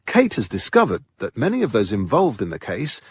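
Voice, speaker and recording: Male announcer, British, husky edge, varied intonation, scripted narration for a radio serial. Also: on the low side, wildly swooping pitch, a little fast. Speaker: announcer recapping a radio drama.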